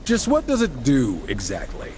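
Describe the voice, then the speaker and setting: A man's voice: medium pitch, wildly swooping pitch, normal speed, a little mellow, big-room sound, a little hiss or hum. An actor playing a cocky, wisecracking horseman warrior in a video game, sarcastic and irreverent. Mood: wry. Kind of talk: casual quips in video game cutscene acting.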